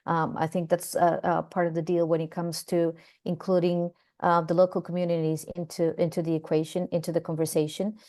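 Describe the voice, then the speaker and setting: A woman's voice: on the low side, flat delivery, a little fast, dark tone. A woman from Costa Rica, a former deputy minister, speaking on a webinar panel with many fillers and restarts.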